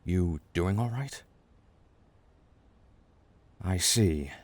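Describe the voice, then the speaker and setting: Gentle, rambling older chess king played by a man, soothing ASMR roleplay, deep voice, very dry close sound, halting reassurance. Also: animated, no echo, exaggerated voice. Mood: gentle concern.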